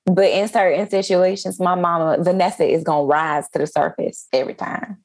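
A woman's voice: on the low side, fairly even intonation, brisk, darkish tone, dry recording. A woman chatting casually in an easygoing, agreeing way. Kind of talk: casual chat.

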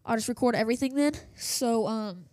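A woman's voice: fairly high pitch, brisk, a little mellow, no echo. Chatty woman host with a worn voice. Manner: chatty, self-interrupting, many fillers and restarts. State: losing her voice. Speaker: host of a school radio news show. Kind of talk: casual rambling radio chat. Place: home office. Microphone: desktop setup with small soundboard.

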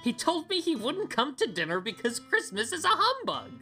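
A forgiving, good-hearted relative in a radio drama. The voice is bright and very animated, with music behind. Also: female voice, high-pitched, normal speed, full character voice. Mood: patient.

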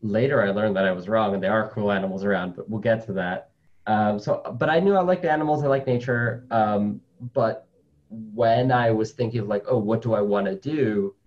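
Man, low voice, chatty and casual, lots of fillers, slightly muffled audio. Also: moderate pace. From Colombia, raised in New York City. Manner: chatty, with many "like" fillers, laughs easily. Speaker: interview guest, spider scientist. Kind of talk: casual conversational interview.

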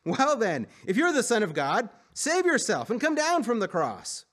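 A man's voice: extremely high pitch, wildly swooping pitch, moderate pace, a bit bright, voice pushed up, exaggerated voice. A man voicing scornful, taunting onlookers and priests in a dramatic scripture story. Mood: mocking. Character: jeering mob of mocking onlookers and priests.

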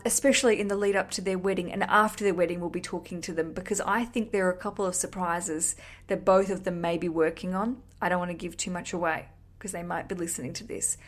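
A woman hosting a podcast, chatting casually and effusively to listeners in a cheeky, sweary, rambling way. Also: mid-range voice. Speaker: podcast host.

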